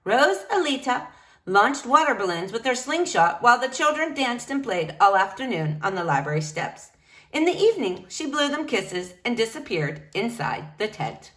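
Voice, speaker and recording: A woman reading a picture book aloud to young children in an expressive, animated way. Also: a little high, normal speed. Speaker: storybook reader.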